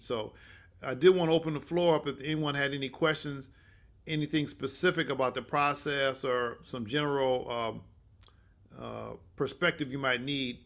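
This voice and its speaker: An older man hosting an online session informally, speaking haltingly with many restarts. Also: mid-range voice, a bit bright. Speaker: host and mentor. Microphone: video call.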